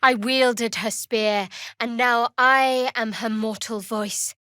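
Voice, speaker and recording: Guarded, sardonic young dark cleric. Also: female voice, a little high, fairly even intonation, takes it slowly, smooth, no echo, clean studio sound. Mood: solemn, proud. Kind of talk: video game character dialogue.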